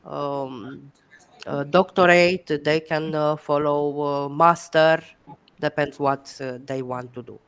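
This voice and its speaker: A woman's voice: sits low, steady tone, slow pace, clear, resonant tone. A middle-aged woman from Romania with a Romanian accent, speaking English as a second language on a video call, hesitant with many fillers and encouraging. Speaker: guest educator. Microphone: headset.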